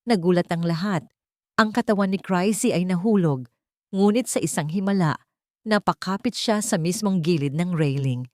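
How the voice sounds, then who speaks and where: A woman's voice: lowish voice, normal speed, a little mellow, dry recording, studio quality. A woman narrator reading a scripted story in a dramatic style.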